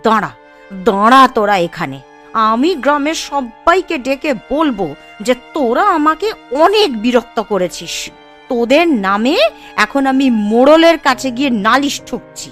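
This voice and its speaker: Woman playing a high-pitched scolding village woman. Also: animated, moderate pace, a bit bright, soft background music. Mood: indignant. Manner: scolding, threatening. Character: indignant village sister-in-law threatening to complain. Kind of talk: cartoon story dialogue.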